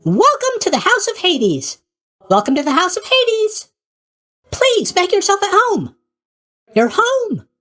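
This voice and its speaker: Casting: a boyish, naive god of sleep in a video game, extremely high-pitched and wildly swooping, bubbly and scatterbrained. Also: male voice, wildly swooping pitch, slow, pauses often, sharp tone, heavily acted. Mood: cheerful greeting. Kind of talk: animated video game voice acting.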